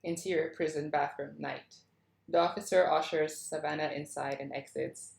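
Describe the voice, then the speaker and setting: Low-voiced woman reading in an echoey room. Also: steady tone, normal speed, vocal fry, a little mellow. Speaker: stage directions reader at a screenplay table read. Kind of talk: scripted narration, read aloud.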